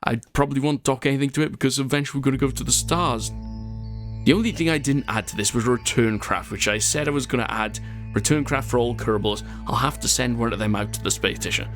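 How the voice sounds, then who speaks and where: A man's voice: mid-range voice, fast talker, soft background music. A Welsh man hosting a game video, chatting casually and poking fun at himself as he plays. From Wales. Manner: chatty and self-mocking, rambling asides, frequent laughs. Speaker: gaming channel host. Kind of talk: casual gameplay commentary.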